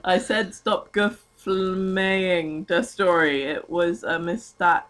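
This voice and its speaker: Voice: medium pitch, steady tone, slow pace, fairly bright tone. A person giving a casual, comic dramatic reading of a story, chatting along and often breaking into giggles. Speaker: reader of a fan fiction story.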